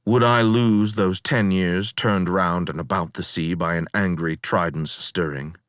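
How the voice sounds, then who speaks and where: A man's voice: sits low, no room sound, studio quality. A man performing a weary, proud ancient Greek hero who recounts his sea voyages as theatrical dramatic poetry, in a grave, declamatory manner. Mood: bitter, reflective. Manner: grave, declamatory, deliberate storytelling with dramatic swells.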